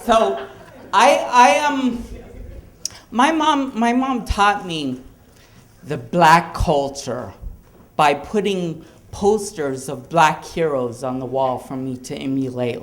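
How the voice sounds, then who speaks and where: A male stand-up comedian from Hawaii telling jokes on stage in a halting way, with restarts and chuckles. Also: a little high, a bit bright.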